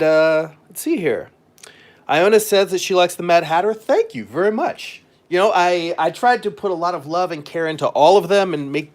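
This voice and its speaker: A man who works as a comic artist, chatting casually and a bit ramblingly as he hosts a live stream. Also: on the high side. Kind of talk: casual live-stream chat while demonstrating.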